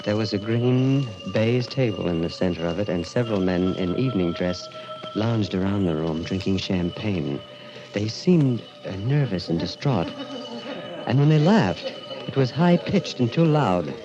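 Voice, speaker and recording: A man's voice: lowish voice, animated, a little slow. An actor playing an uneasy, desperate man caught in a macabre tale, in 1940s radio drama style. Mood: haunted, tense. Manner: hesitant in dialogue, intense and dramatic in narration. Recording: muffled old-time radio recording. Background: dramatic music.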